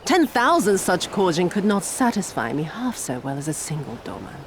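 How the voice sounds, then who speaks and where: A cruel, volatile, haughty villainess. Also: female voice, fairly low pitch, very animated, moderate pace, a little hiss or hum. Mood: dissatisfied brooding. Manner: theatrical, sneering, imperious. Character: cruel, haughty, sadistic female imperial commander. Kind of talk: video game cutscene acting. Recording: music behind the voice.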